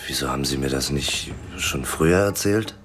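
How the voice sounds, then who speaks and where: An actor playing a blunt, hard-drinking, irritable private detective in a German radio drama, talking in a gruff, sardonic, colloquial way. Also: male voice, extremely low pitch, moderate pace. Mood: probing, matter-of-fact. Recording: music behind the voice.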